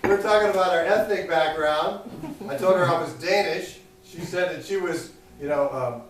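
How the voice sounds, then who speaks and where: A man performing an open-mic stand-up set at a small cafe, delivering deadpan, self-mocking one-liners to an audience that laughs. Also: high voice, normal speed. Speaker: stand-up comedian. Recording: echoey room recorded from a distance.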